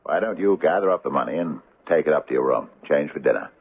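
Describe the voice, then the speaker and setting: An actor playing a grave, guarded older father in a 1970s radio drama, measured and fatherly with slight hesitations. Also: a bass voice, lively intonation, slightly rough, heavily acted. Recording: dull lo-fi old radio broadcast.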